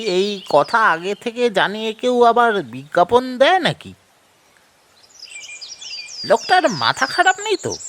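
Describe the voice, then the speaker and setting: Audio-story part: sceptical, relaxed male pal, played by a man, unhurried, swooping intonation, noisy recording. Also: sits high, exaggerated voice. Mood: amused doubt. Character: doubtful but easy-going young male friend. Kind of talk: audio story dramatic dialogue.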